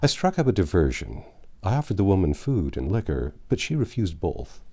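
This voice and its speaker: A man narrating a classic novel aloud in a measured, dramatic storytelling manner. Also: deep voice, sing-song, mellow, breathy edge. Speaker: audiobook narrator. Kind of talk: expressive literary narration.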